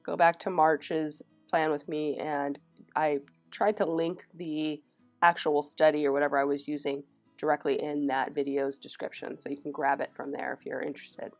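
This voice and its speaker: A woman's voice: sits low, level tone, normal speed, a little mellow, faint music behind. A woman narrating a casual flip-through of her planner, her throat scratchy from a cold, chatting along with fillers and restarts. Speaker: planner vlogger.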